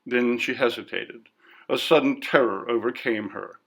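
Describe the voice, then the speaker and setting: A man reading a story as scripted narration in a measured, literary delivery. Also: mid-range voice, creaky, fairly bright tone, breathy edge. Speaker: audiobook narrator.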